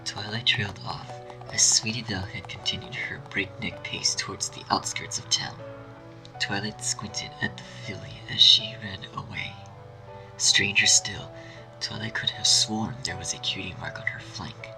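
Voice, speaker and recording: A man narrating a scripted dark fan story in a dramatic storytelling style. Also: fairly low pitch, fairly even intonation, normal speed, creaky, rough recording. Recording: faint music behind.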